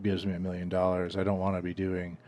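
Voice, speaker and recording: A man in his late thirties hosts a relaxed podcast conversation. His voice sits a little low and he asks direct, curious questions with a blunt edge. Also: fast, a little hiss or hum. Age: 30s. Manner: curious, blunt, swears when riled. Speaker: podcast host. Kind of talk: casual interview chat.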